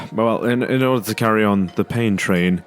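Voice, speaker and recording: A man's voice: lowish voice, normal speed, natural voice. An actor playing an earnest, self-doubting father with a hesitant, stammering way of talking in an audio drama. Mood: reflective, self-doubting. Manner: hesitant, stammering, repeats words. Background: music.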